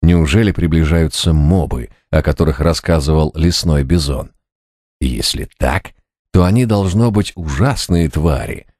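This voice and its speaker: A man narrating a fantasy novel as an audiobook, with expressive storytelling delivery, close to a studio microphone. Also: rumbling low, measured pace, dry recording.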